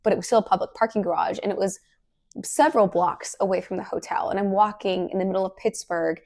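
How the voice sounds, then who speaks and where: A young woman hosting a solo podcast, her voice hoarse and partly lost from illness, talking casually and earnestly with lots of "like" fillers. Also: medium pitch, fast talker, darkish tone. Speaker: podcast host.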